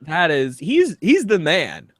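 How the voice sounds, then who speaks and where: A man's voice: fairly high pitch, huge pitch swings, slow pace, no room sound, studio quality. A man co-hosting a comedy podcast, bantering casually and doing comic readings. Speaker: podcast co-host.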